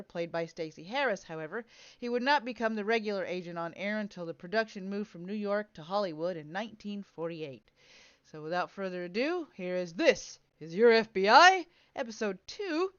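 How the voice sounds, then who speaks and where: Female stream host; smooth mid-range voice, casual chat and read notes, dry slightly muffled sound. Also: medium pitch, dry recording.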